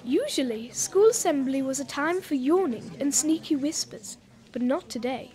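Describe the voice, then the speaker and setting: A woman's voice: high-pitched, animated, normal speed, warm tone. A woman narrator reading scripted children's radio play narration in a storytelling style.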